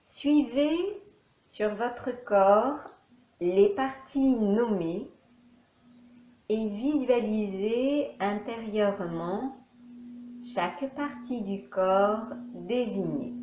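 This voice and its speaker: A woman teacher guiding a yoga nidra relaxation session in slow, soft, calm instructions with long pauses. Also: on the high side, reverberant, noisy background. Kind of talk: guided relaxation.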